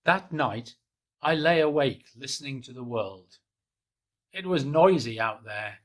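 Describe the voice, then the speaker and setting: A man gently reading a children's picture book aloud in the first person, in a soft, hushed and unhurried way. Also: medium pitch, lively intonation. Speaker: storybook reader.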